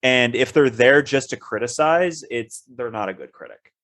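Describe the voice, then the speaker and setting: A man's voice: mid-range voice, normal speed, fairly bright tone. A man co-hosting a podcast, speaking in casual conversation. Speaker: co-host, actor.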